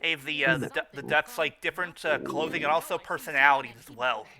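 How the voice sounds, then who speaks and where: A man giving casual, excitable commentary on a cartoon, halting and repeating his words. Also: a little high, a crowd in the background. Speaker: co-host of a cartoon riffing show.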